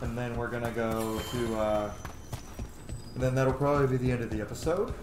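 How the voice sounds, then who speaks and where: A man's voice: mid-range voice, fairly even intonation, a little fast, background noise. Man casually commentating a game, roomy sound. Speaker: gaming streamer roleplaying a playthrough. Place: room at home, indoors. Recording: roomy, game music faintly behind.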